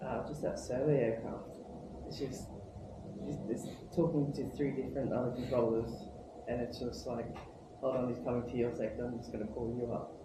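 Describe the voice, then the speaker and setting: A man's voice: medium pitch, level tone, moderate pace. A man, a visiting pilot, casually recounting what he saw in very informal wording. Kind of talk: casual recounting with acted quotes. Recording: very echoey, noisy room.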